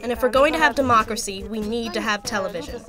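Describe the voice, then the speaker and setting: Woman reading translated voice-over, quick and fairly high, music under it. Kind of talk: documentary voice-over.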